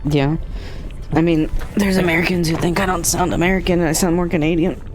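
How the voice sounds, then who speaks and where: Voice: mid-range voice, fairly even intonation, fairly quick, music in the background. A streamer hosting a live chat, rambling and restarting sentences in a casual, chatty way. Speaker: streamer, host.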